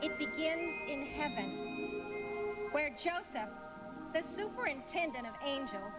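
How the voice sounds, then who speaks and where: A woman's voice: high-pitched, expressive, takes it slowly. A woman delivering scripted narration for a live radio drama. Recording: very loud music behind narration.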